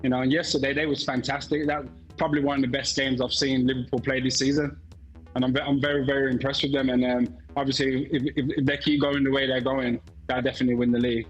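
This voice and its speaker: Halting mid-pitched former defender. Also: male voice, flat delivery, slightly rough, faint music behind, rough recording. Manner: halting, many restarts and fillers. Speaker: football pundit, former defender. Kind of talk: studio panel analysis.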